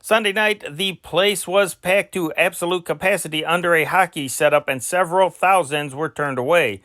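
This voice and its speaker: A man reading old newspaper sports dispatches aloud as an amateur news host, in a deliberate announcer-like delivery with occasional stumbles. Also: fairly high pitch, sharp tone, no room sound, studio quality.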